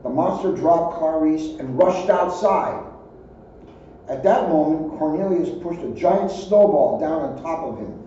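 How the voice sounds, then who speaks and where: Male storybook reader, expressive, higher-pitched, recorded distant in a reverberant space. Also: unhurried. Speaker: police officer reading a children's story. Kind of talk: expressive storybook read-aloud. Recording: echoey, distant, muffled, faint noise.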